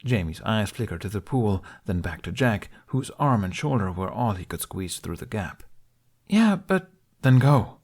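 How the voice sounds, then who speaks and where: A man reading a fan fiction aloud as an audiobook, in soft, measured storytelling. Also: low voice. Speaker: podfic reader.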